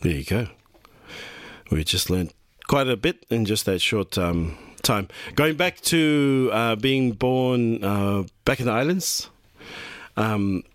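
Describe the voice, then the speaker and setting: A man's voice: lowish voice, lively intonation, slow pace, no echo. A man hosting a community radio program from a studio, talking in a loose, rambling way with many restarts. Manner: warm-hearted, rambling, many fillers and restarts. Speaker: radio host. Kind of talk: community radio presenting and interviewing.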